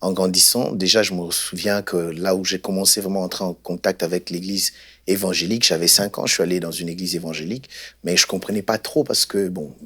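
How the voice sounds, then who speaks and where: A man from Congo, a guest pastor telling his life story in an unhurried, hesitant way. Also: deep voice, fairly even intonation, pushed and bright, slightly rough. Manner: unhurried, hesitant, many fillers.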